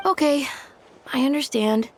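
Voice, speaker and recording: A woman's voice: high voice, measured pace, background noise. A woman voicing a small, timid young child in a video game. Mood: meek, grateful. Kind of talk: dramatic video game voice acting.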